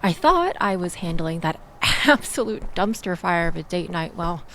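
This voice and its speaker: A woman playing a shaken, chatty young woman in an intimate audio roleplay, rambling with wry asides and breathy sighs as she confides in the listener. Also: lowish voice, lively intonation, normal speed, a bit bright, slight background noise. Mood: rattled, wry. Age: young adult. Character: shaken, chatty young woman escaping a bad date, self-deprecating and grateful.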